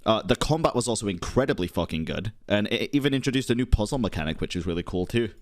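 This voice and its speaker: A man's voice: on the low side, lively intonation, fast, no room sound, clean studio sound. A man streaming a game, giving casual, slangy, opinionated reactions with plenty of swearing. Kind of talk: casual live gameplay reaction.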